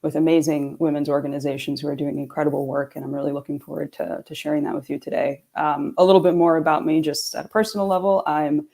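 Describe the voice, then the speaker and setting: A woman's voice: low voice, flat delivery, fast, vocal fry, hardly any pauses, mellow. A woman from New York introducing herself as a sustainability consultant on a video call panel, chatty with frequent ums.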